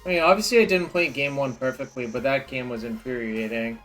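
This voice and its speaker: A man's voice: mid-range voice, fairly quick, fairly bright tone. Guy streaming a card game, mutters and grumbles to chat, faint music behind. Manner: frustrated, muttering to himself and chat. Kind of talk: casual game stream commentary.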